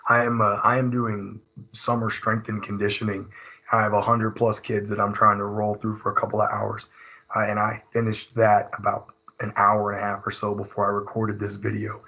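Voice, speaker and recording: A hoarse man, leading a recorded teacher training seminar in a conversational way, with many fillers and restarts. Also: on the low side, completely flat delivery, moderate pace, sharp tone. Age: 30s. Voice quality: raspy. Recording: dull lo-fi screen recording.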